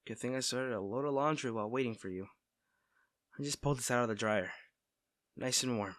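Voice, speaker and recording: A man playing a caring, teasing, protective best friend in a soft-spoken, conversational roleplay audio drama. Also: mid-range voice, fairly quick, no echo, studio quality, natural voice. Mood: casual, teasing. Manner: fussing, tender, conversational.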